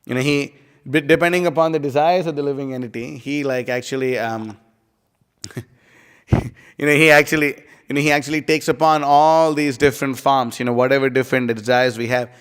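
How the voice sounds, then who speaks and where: A man's voice: medium pitch, fairly even intonation, brisk, smooth. A man giving a casual scripture class, rambling with many fillers as he explains. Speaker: class speaker. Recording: live stream in a reverberant hall.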